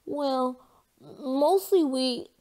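A woman voicing an eager, excitable young boy in a children's storybook. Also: high-pitched, very slow, pitched above the performer's own voice.

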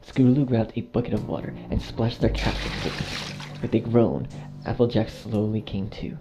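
A man reading a dark fan fiction story as scripted narration. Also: fairly low pitch, moderate pace, background noise. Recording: dull lo-fi audio over clear music.

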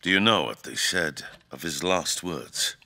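An actor playing a grave, imperious fantasy king in an audio drama, speaking with formal, deliberate theatrical diction. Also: low voice, expressive, creaky, bright, forceful tone, heavily acted. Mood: wry, commanding.

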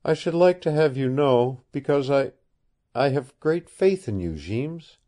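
A weary young woman around twenty, holding back secrets, speaking low and tired with a pleading softness, as a male narrator lifts his voice to play her. The voice sits well above the reader's own deep tone. It has a storybook feel. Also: lively intonation, measured pace, lightly acted.

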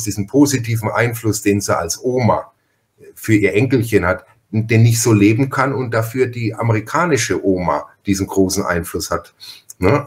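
A man chatting as a podcast host in an effusive, long-winded, personal way. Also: fairly low pitch. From Franconia, Germany. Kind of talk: conversational interview chat.

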